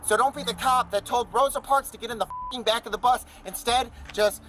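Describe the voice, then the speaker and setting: Angry, rambling man in a street argument, high voice, distant bodycam audio. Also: brisk, bright, forceful tone, faint music behind. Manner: agitated, rambling, talks over others. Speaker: arrested member of the public. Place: outdoors by a building on a street.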